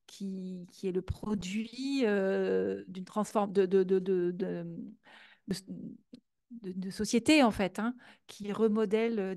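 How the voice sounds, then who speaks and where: A French woman talks through ideas at a conference, stalling on repeated words and fillers as she searches for the next phrase. Her tone is mellow and soft-edged. The sound is dry and close, with no room echo. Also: medium pitch, vocal fry. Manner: hesitant, many restarts and fillers. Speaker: guest speaker. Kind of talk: conference talk and Q&A.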